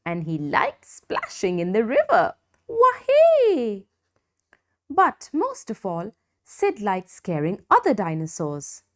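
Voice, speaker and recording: Slow, lively woman reading a children's story. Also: mid-range voice. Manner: deliberate, dramatic emphasis for young listeners. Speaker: storybook reader. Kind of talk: expressive children's story narration.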